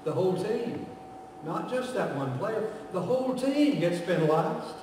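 An older man with a Southern American accent preaching a storytelling sermon from a church pulpit, moving between loud passages and hushed ones. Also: sits high, animated, measured pace, background noise. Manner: dramatic, voices quoted characters, swings from loud to hushed. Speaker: guest preacher. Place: church sanctuary, medium-sized, indoors. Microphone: far camera, pulpit mic. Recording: echoey church from far off.

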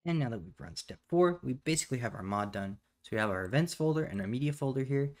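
A man hosting a step-by-step software tutorial in a casual, plainspoken way, recorded indoors at a computer. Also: medium pitch, expressive, fast talker. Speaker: tutorial host. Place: indoors at a computer, voice over screen capture.

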